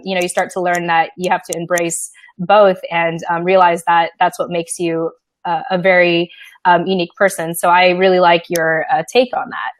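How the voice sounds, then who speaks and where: Low-voiced chatty woman interviewer. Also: no room sound. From Philippines. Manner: chatty, heavy filler use. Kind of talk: casual interview.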